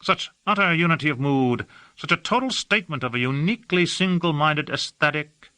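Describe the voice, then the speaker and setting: A man's voice: fairly high pitch, expressive, fairly quick, bright, forceful tone, no echo, voice pushed up. A man voicing an airy, languid male citizen who gossips in an affected, lilting drawl.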